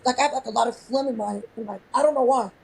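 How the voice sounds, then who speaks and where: High-voiced raspy man. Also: very fast. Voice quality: raspy, high feminine-sounding voice. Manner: blunt, jokey, asks naive questions. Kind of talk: casual chat.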